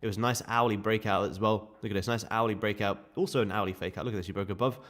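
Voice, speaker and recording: A man with a sore, croaky throat, casually walking viewers through live trading charts. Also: lowish voice, steady tone, rapid-fire, a bit bright. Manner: casual, chatty explaining to viewers. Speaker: day trading streamer.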